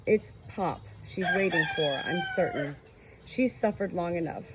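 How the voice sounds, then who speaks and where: A woman's voice: fairly low pitch, animated, unhurried. A woman voicing a strained, blunt, insistent husband of a dying woman in a book. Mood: firm, weary. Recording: clear background noise.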